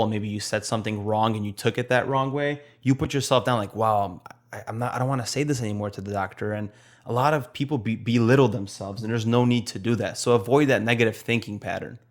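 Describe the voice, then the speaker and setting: A man from Poland with a Polish accent, co-hosting a nursing podcast in casual, blunt chat and slowing down to stress his points. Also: fairly low pitch, steady tone. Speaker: nurse podcast co-host.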